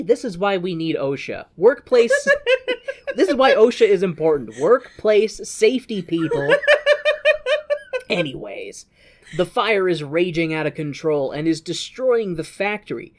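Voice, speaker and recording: A woman co-hosting a podcast, recapping a film in a casual, comedic way with playful, wry asides. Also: fairly low pitch. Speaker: podcast co-host. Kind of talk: casual comedic movie recap, partly reading from notes.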